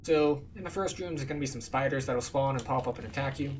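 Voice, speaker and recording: A man's voice: medium pitch, fast, slight background noise. A man giving casual, explanatory walkthrough commentary as a video game guide. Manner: informal, explanatory. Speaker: gaming guide host.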